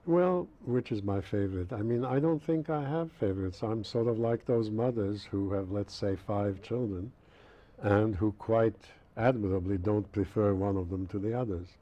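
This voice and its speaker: A man's voice: lowish voice, normal speed, dark tone, muffled recording. An older man from the former Yugoslavia, German his first language, answering interview questions in a dry, precise, witty way. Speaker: guest critic. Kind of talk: studio interview. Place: dark TV studio set. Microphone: lapel mic.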